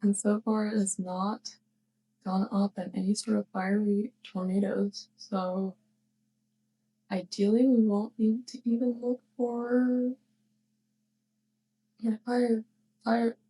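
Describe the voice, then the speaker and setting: A woman playing a sweet, clumsy young witch neighbor in an intimate ASMR roleplay, speaking softly and hesitantly with shy affection. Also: medium pitch, little pitch movement, slow pace, pauses often, low-quality recording. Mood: playful, reassuring. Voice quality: soft, breathy. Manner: hesitant, giggly rambling.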